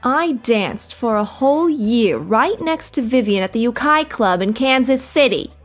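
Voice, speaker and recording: A woman plays a naive, kindly nightclub dancer from an old noir radio comedy. Her voice is on the high side and lilts widely, earnest and a little dim, over faint music. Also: lively intonation, a little slow. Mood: puzzled. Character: sweet, naive, slightly dim nightclub showgirl.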